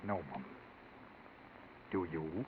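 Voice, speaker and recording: An actor playing an old, grumbling yet deferential Yorkshire servant in a 1940s radio drama, in hesitant dialect. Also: male voice, low voice, extremely slow. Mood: apologetic. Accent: Yorkshire English. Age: older. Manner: dialect speech, hesitant stammering. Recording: tinny, noisy 1940s radio recording.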